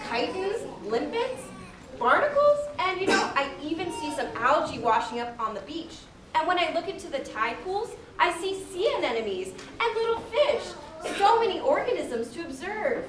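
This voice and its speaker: A woman's voice: very high voice, very animated, moderate pace. A woman voicing a curious young girl full of wonder in a live children's puppet show. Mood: amazed. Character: wide-eyed, curious young girl exploring nature. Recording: echoey distant hall with loud audience noise.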